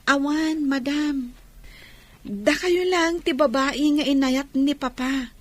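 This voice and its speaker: A woman's voice: high-pitched, steady tone, slow pace. A woman playing a cheerful, affectionate young adopted daughter in a Philippine radio drama. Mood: earnest, affectionate.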